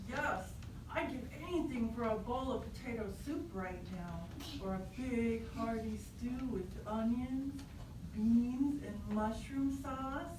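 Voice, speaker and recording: A woman voicing a hungry, wistful animal traveler in a live puppet show, dreamy and unhurried. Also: a little high. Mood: longing. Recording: distant echoey room, clear noise.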